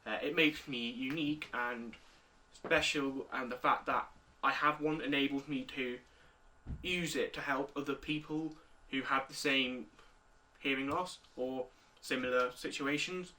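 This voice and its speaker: Young guy of eighteen, clear bright tone, a bit off-mic. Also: medium pitch, fairly even intonation, a little slow, distant mic. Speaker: interviewee. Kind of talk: recorded video interview answers.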